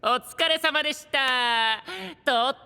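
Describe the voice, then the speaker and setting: A man's voice: very high voice, slow, pushed and bright, smooth, heavily acted. A man playing a polite, playful, mischievous trickster in a Japanese anime-style voice drama, courteous and teasing in a sing-song manner, with reverb on the voice. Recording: long hall-like reverb on voice.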